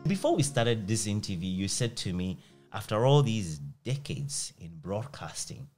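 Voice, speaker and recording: A middle-aged man, asking measured questions as a podcast host. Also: lowish voice, very animated, darkish tone, faint music behind. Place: small plain indoor room. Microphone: close microphone on a stand.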